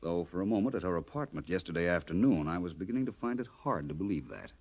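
An actor playing a suave, commanding private detective in a polished 1940s radio drama style. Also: male voice, low-pitched, big pitch swings, quick pace, darkish tone, highs cut off, full character voice. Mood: relaxed, wry. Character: suave, self-assured male private detective, wryly theatrical.

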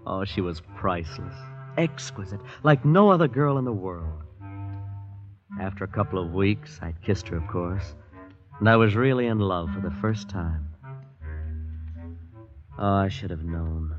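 An actor playing an earnest, boyish young man in a vintage radio drama, speaking in a halting, sincere way. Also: deep voice, soft background music. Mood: wistful. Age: young adult. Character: earnest lovestruck young American man, increasingly alarmed guest. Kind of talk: 1940s-50s radio drama acting and narration. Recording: dull vintage radio broadcast recording.

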